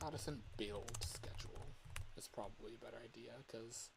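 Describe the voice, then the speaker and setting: A man streamer chatting casually, thinking aloud in a halting, rambling way. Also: mid-range voice, vocal fry, noisy background. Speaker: livestreamer and fangame developer. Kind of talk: casual livestream chat. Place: livestream at a computer.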